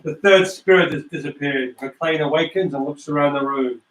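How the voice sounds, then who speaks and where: A man's voice: a little high, normal speed, fairly bright tone, slight background noise. A man narrating stage directions for a scripted play reading. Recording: roomy, distant microphone.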